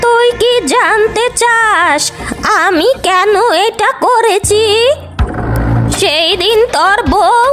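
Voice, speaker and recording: A woman voicing the vengeful ghost of an old grandmother in a cartoon, with slow, drawn-out menacing threats and eerie cackling. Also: very high voice, heavily acted. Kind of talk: dramatic cartoon voice acting. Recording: music under the voice.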